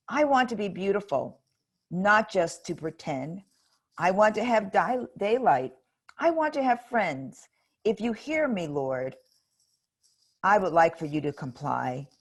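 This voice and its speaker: A woman reading aloud as a sad little girl saying a bedtime prayer, soft and pleading. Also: mid-range voice.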